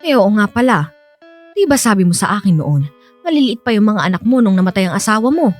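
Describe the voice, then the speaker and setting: An actress playing a feisty, sharp-tongued older woman in a radio drama, scolding and bantering as she resists a suitor. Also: mid-range voice, lively intonation, fast, soft background music, natural voice. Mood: curious, probing.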